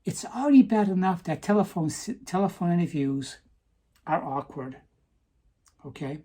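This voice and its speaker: A woman talking earnestly to camera in a personal vlog, stopping to ask "okay?" for emphasis, with some halting restarts. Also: on the low side, expressive, mellow, slightly rough. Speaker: vlogger.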